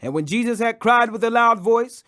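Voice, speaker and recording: A man's voice: very high voice, moderate pace, bright, forceful tone. A man reading scripture aloud as a dramatic audiobook, in a solemn, declamatory manner. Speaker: scripture reader.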